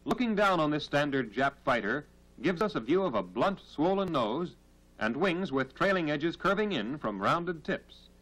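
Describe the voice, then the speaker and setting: An American man narrates a military training film from the 1940s. His voice sits fairly high and moves through animated rises and falls. He delivers formal, measured instruction, heard on a vintage film soundtrack. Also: poor audio.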